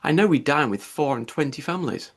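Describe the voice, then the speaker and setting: A man's voice: fairly high pitch, animated, fairly quick, gravelly, husky, heavily acted. A man voicing a fussy, gushing, easily offended middle-aged mother in a classic novel audiobook, effusive and indignant. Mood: indignant, triumphant.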